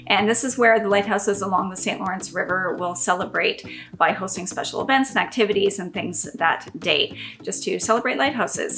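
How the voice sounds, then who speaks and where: A middle-aged woman hosting her own channel, reading a scripted informative narration in an upbeat, clear way, with a slight lingering cough. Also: medium pitch. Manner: upbeat, clear, lively on list headings. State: lingering cough after illness. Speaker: channel host. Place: indoors before a green screen. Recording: background music under the voice.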